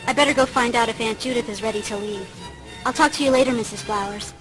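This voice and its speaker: An actress playing an earnest, polite, conciliatory young heroine in a video game. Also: female voice, on the high side, quick pace, close to an everyday voice, poor audio. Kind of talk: video game dialogue acting. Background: music.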